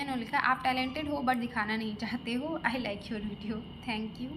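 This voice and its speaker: A young woman from Lucknow talks through viewer comments in a casual, chatty vlog. She hesitates often, with fillers and restarts, in a voice that sits a little high. Steady background noise runs under the recording. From Lucknow, India. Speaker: exam aspirant vlogger.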